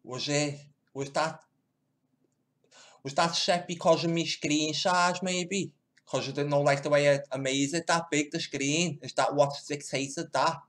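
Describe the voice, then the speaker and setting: A man's voice: a little high, steady tone, a little slow, a bit bright. A man muttering casual, crude commentary to himself while he sets up and watches video clips at home. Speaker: video host.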